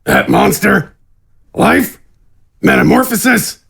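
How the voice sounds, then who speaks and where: A man playing a huge, gruff, scornful warlord in a fantasy audio drama, speaking tersely in a raspy, gravelly voice. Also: high voice, lively intonation, takes it slowly, a bit bright, heavily acted. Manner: terse, grunting, disdainful. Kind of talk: scripted audio drama acting.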